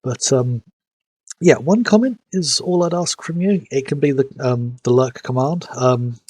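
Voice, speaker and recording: A man's voice: mid-range voice, darkish tone. A man, sniffly from hay fever, chatting casually as he streams a game and recaps the story. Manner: rambling, fills pauses with "um". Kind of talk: casual live-stream commentary.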